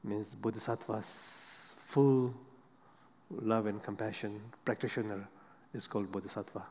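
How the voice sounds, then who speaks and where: A middle-aged man, a Buddhist monk on a stage panel, speaking halting non-native English with frequent pauses and fillers. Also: fairly low pitch, steady tone, a little mellow, a little hiss or hum. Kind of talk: unscripted panel talk. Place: seated on a large theater stage. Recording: long hall reverb.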